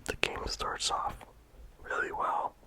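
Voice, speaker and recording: A game reviewer talking in a soft ASMR whisper throughout, casual and rambling with many "like" fillers. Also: slow.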